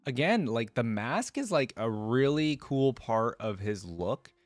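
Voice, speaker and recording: A man's voice: mid-range voice, big pitch swings, a little slow, no room sound. A man hosting a reaction video, chatting casually to viewers and thinking aloud as he comments. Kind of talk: casual commentary, reacting to game music and artwork.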